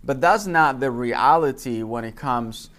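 A man gives an informal class talk. His voice sits at a middle pitch. He speaks haltingly, circling back and repeating words as he explains things through anecdotes. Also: fairly bright tone. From Dominican Republic. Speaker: gardening class instructor. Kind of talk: informal lecture with personal anecdotes.

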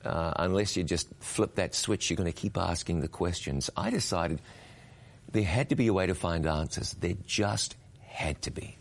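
A middle-aged man with a very deep voice. He tells his story as an interview guest, earnest and reflective. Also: moderate pace. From New Zealand. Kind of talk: interview conversation.

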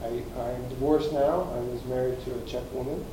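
A man's voice: medium pitch, fairly even intonation, normal speed, background noise. An American man in his fifties giving an earnest personal testimony as a guest speaker in a church hall. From United States. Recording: echoey room, from far off.

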